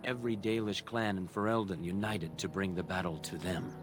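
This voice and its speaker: An actor playing a proud, kindly elven master craftsman in a fantasy game, speaking in a measured, formal storytelling way. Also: low-pitched, fairly even intonation, natural voice. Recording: loud music behind the voice. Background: game music.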